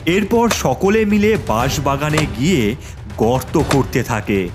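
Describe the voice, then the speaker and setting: A man's voice: a little high, sing-song, takes it slowly, over music. A man narrating a scripted children's story in a dramatic storytelling manner.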